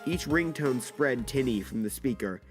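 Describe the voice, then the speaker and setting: A man's voice: medium pitch, animated, moderate pace. Casting: male narrator for audio drama, mid-range voice, dramatic scripted storytelling over music. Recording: background music under the voice.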